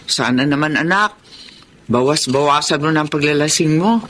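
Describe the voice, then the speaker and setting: A woman's voice: low voice, animated, normal speed, a bit bright, slight background noise. An actress playing a worried mother who chides her grown son in a radio drama. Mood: worried, chiding. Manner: chiding, concerned. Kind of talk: Filipino radio drama acting.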